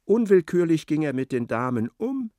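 A man narrating a literary radio drama in a measured, articulate, storytelling way. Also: fairly high pitch, very animated, dark tone, no room sound, studio quality.

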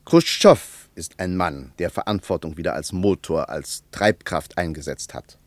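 An actor playing an assertive political debater in a German radio play, speaking in an emphatic, declamatory way. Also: male voice, low-pitched, unhurried, no room sound.

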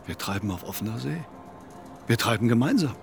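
An actor playing a sober, troubled ship passenger in a radio drama, matter-of-fact and insistent. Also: male voice, mid-range voice, expressive, fairly quick, creaky, music in the background. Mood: grave, subdued. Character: sober, troubled male ship passenger pleading for stranded refugees.

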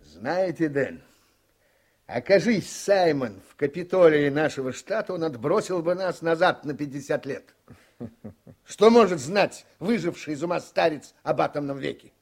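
Radio drama: newspaperman in his sixties, gruff and wry, higher-pitched male voice, very animated delivery. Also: normal speed. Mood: scornful, wry. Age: 60s. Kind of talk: Soviet-style radio play acting.

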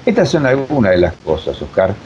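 A man in his seventies with a Rioplatense accent and a fairly low voice. He reminisces in a rambling way, full of digressions and filler phrases. The recording is dull, with faint rumbling noise. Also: wildly swooping pitch, brisk. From Uruguay. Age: 70s. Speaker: interview guest, voice technique teacher. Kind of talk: reminiscing interview talk.

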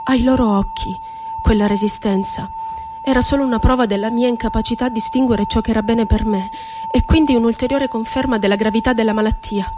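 An actress playing a bitterly ironic psychiatric inmate in a radio theatre monologue, telling her story in a deliberate, dramatic way. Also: on the high side, over music, natural voice. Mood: frightened, anguished. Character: woman psychiatric inmate recounting asylum horrors, bitterly ironic. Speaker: stage actress.

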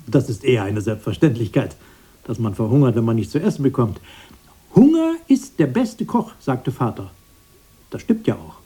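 An aging fairy-tale brother in a radio play. The voice is dark and husky, with lively swings of pitch. He is earnest, proud and pedantic, fond of quoting homely sayings. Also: normal speed. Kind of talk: radio drama acting.

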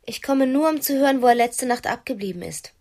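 An actress playing a restless, quick-witted wife who bluffs her way along, cool and sardonic, in a radio play. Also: sits high, animated, a little mellow. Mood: brazen, indignant. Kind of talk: 1990s German radio play acting.

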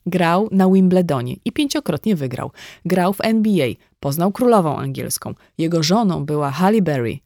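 A woman's voice: lowish voice, expressive, moderate pace, darkish tone, no room sound, studio quality. A woman reading a scripted audiobook dialogue as a narrator. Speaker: audiobook reader.

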